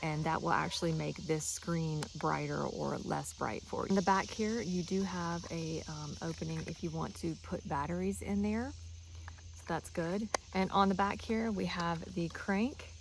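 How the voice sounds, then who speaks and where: A woman's voice: fairly low pitch, fairly even intonation, normal speed, vocal fry, background noise. A woman giving a casual, chatty, instructional product demonstration. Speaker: product reviewer.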